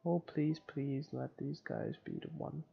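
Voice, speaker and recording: A man reading visual novel narration aloud for a playthrough, in an informal, somewhat halting way. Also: medium pitch, huge pitch swings, vocal fry. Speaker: video game let's player. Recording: slightly muffled, music underneath.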